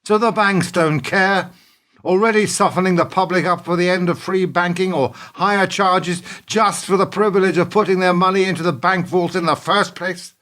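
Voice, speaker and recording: A man's voice: high-pitched, steady tone, normal speed, a bit bright. A man acting in a radio play as an idealistic, indignant businessman, morally earnest and defiant, with an emphatic speechifying delivery. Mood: earnest, impassioned.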